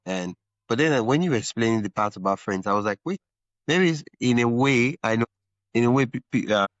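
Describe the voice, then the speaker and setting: A man's voice: mid-range voice, expressive, fairly quick, no echo, muffled recording. A man hosting a podcast interview, thinking aloud in long, rambling follow-up questions. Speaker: podcast host. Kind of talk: conversational interview.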